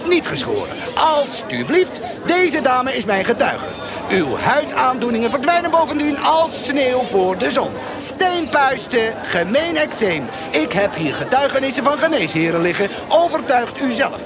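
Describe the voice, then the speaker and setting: An actor in a radio play as a grandiose, sly market quack, declaiming a sales pitch and repeating words for effect. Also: male voice, very animated, unhurried, full character voice. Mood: grandiose, coaxing. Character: fast-patter market quack hawking miracle stones, grandiose and sly. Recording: busy outdoor crowd behind.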